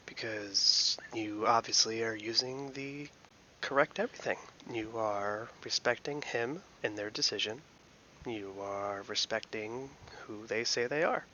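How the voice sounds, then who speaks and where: Relaxed rambling man, bright lo-fi voice. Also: lowish voice, a little hiss or hum. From Philadelphia. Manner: rambling, relaxed, many fillers, slips into soft whispers. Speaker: host. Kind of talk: casual commentary reading an online post.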